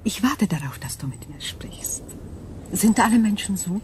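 An actress playing an ancient, calm and probing crystal being in a radio drama, speaking in a measured, formal, breathy way. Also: female voice, medium pitch, slight background noise, natural voice. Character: ancient female super-crystal intelligence, calm, probing, wary.

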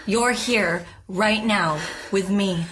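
An actress playing a composed, reassuring therapist, speaking formally and with gentle, measured questioning in an audio drama. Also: female voice, mid-range voice, noisy background, close to an everyday voice. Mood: earnest, reassuring.

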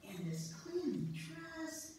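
A woman's voice: sits high, very animated, very slow, exaggerated voice. A woman playing a frank, wry wife telling her story in an amateur reader's theater, recorded far away in a church. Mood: wry, nostalgic. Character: frank wife Joanna, former Manhattan finance woman. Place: on stage in a church, far camera. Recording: very echoey hall, distant mic, noisy.

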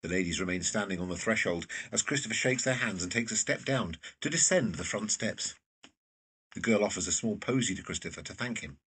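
A man's voice: lowish voice, lively intonation, fairly quick, a bit bright, husky. A man reading scripted stage directions as narrator of an audio drama.

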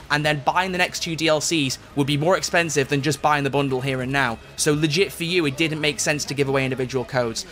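UK gaming YouTuber, male, rapid delivery, bit higher than average, voice slightly off from illness, chatty voice-over. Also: a bit bright. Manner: upbeat, chatty, self-correcting. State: voice affected by illness. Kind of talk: video game update breakdown.

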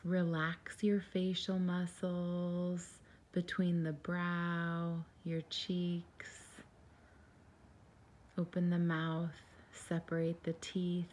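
Slow, mellow woman guiding meditation. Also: on the low side, completely flat delivery. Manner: soothing, gentle, unhurried. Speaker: meditation guide. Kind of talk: guided meditation narration.

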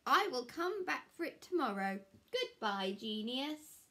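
Female storybook circle, acted by a woman: assured, effusive admirer with high, animated delivery. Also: unhurried, heavily acted. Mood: admiring. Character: confident, admiring female circle, floating and gushing.

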